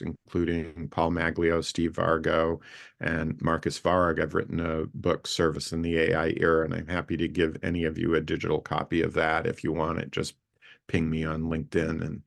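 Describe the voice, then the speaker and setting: A man's voice: low-pitched, gravelly. A man in his late sixties talking casually on a video call, introducing himself to a community group in a rambling, friendly way with many fillers and restarts. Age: 60s. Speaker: co-founder introducing an online community session.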